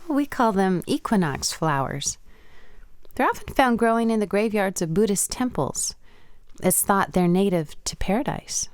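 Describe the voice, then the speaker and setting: An actress playing a spirited Japanese woman in a radio play, expressive and earnest, by turns playful and wary. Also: mid-range voice, moderate pace, no echo, natural voice. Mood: curious.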